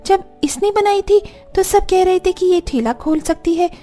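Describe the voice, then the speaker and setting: Casting: actress as high, soft-toned young wife, jealous and stubborn, dramatic cartoon delivery. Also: female voice, normal speed, faint music behind. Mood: hurt, indignant. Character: jealous, stubborn young elder daughter-in-law, boastful and easily flustered. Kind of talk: Hindi cartoon story dialogue.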